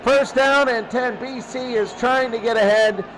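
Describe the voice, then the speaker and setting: High-pitched, unhurried male football announcer. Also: steady tone, a bit bright, a faint crowd. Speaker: play-by-play sports announcer. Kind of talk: radio football commentary. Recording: clearly noisy background throughout.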